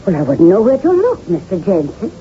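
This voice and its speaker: Forthright nurse, very lively intonation. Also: female voice, mid-range voice, a little fast, faint music behind. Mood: frank, concerned. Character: forthright, well-meaning female hospital nurse. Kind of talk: 1980s radio drama acting. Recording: dull, hissy old radio recording.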